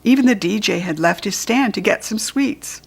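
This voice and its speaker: A woman reading a children's storybook aloud, clearly and expressively. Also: mid-range voice, animated, moderate pace. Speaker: storybook reader.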